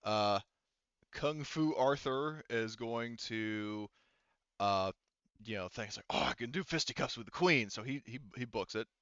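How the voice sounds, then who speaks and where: Clear-voiced man running a tabletop game. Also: mid-range voice, fairly bright tone, no echo, muffled recording. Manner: halting, thinking aloud, casually profane. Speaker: game master. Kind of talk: casual tabletop game narration and rulings.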